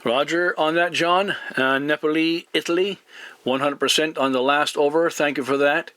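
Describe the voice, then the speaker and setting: A man working as a CB radio operator, speaking courteously and deliberately in formal radio procedure, often spelling with a phonetic alphabet. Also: on the high side, fairly even intonation, sharp tone, a little hiss or hum. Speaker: CB radio operator and video channel host.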